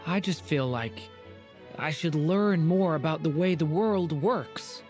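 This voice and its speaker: A woman's voice: low-pitched, takes it slowly, a little mellow, faint music behind. A woman playing an earnest, naive young dragon child in a fantasy game, speaking in a thoughtful, wondering way.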